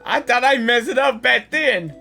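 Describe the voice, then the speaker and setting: A woman's voice: on the high side, expressive, moderate pace, gravelly. A woman reacting casually and live as she plays a video game, often repeating short phrases, with game music behind her.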